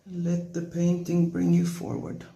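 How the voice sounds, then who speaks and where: Woman, non-native English accent, painting instructor, very slow pace, gravelly fry, relaxed tutorial delivery, sparse encouraging phrases. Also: lowish voice, highs cut off. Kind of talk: relaxed painting tutorial, talking while working.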